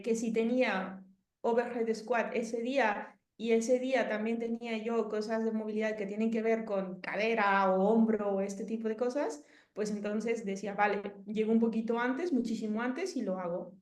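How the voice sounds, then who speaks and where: A woman in her thirties with a Mexican Spanish accent talks through a casual testimonial interview. She rambles, stacks up fillers and restarts her sentences. Her voice sits in a middle pitch and carries a roomy echo. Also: a little mellow. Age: 30s.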